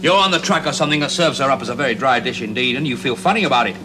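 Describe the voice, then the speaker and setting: An actor playing a forceful male research team leader who talks in a brisk, commanding way, in a 1970s television drama. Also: medium pitch, animated, natural voice. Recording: hissy old TV soundtrack.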